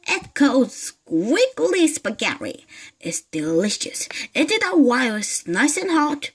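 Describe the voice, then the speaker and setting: A woman's voice: sing-song, a little slow, breathy edge, heavily acted. Woman putting on a shrieking old hag. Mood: sly. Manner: shrill, screeching. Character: Mrs Twit, shrieking nasty old woman.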